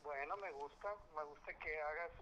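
A man's voice: a little high, moderate pace, sharp tone, slight background noise. A middle-aged man, talking casually as a father over a tinny telephone line. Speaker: streamer's father. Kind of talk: casual phone conversation.

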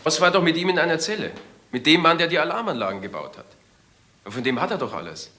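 An actor playing a detective who asks pointed, accusing questions in a German crime radio play. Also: male voice, on the high side, expressive, quick pace, sharp tone. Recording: roomy echo, faint noise.

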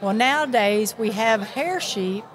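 An older woman with a Southern accent talks in a somewhat high voice, warm in her chatter and quick to restart her sentences. She speaks earnestly in a live interview recorded at a convention. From Miami, Florida. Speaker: sheep producers association president. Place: busy convention hall.

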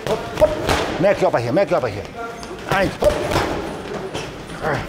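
A man's voice: a little high, sing-song, unhurried. A middle-aged man coaching pad drills, calling short counts and commands in a large indoor boxing gym, picked up by a camera at a distance. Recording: gym noise with loud thuds.

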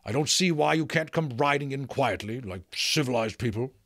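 A greedy, pompous mayor from a storybook, played by a man reading aloud. He complains and bullies with big swings of pitch, in a dry, close sound. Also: lively intonation, normal speed, fairly bright tone, dry recording. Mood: calm, weary. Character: fat, greedy, bullying male town mayor.

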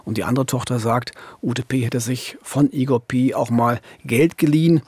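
Husky-voiced man telling true crime. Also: medium pitch, steady tone, normal speed, darkish tone, no room sound. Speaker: true-crime podcast co-host. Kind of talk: conversational true-crime storytelling. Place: dark radio studio. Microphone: studio microphone on boom arm, headset monitoring.

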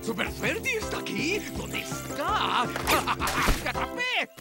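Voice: high-pitched, sing-song, slow pace, exaggerated voice. An actor voicing a blustering, easily duped wolf villain in a Latin American Spanish children's cartoon dub, with music behind. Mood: blustering, angry. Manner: exaggerated, stammering and blustering.

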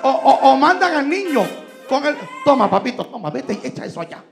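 A man in his thirties preaches from a church stage, his voice very high with a gravelly rasp. He shouts emphatically and slips between Spanish and English. Soft music plays faintly behind him. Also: big pitch swings, fairly quick. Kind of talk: Pentecostal sermon. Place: large church stage indoors. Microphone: handheld microphone.

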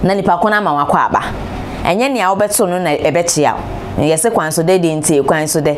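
A woman in her thirties with a Ghanaian accent, presenting entertainment gossip from a studio chair in an animated, exclamatory way, firing rhetorical questions. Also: fairly low pitch, brisk, background noise. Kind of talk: gossip commentary, mixing Twi and English. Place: studio, seated on a large throne-like chair. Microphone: lapel.

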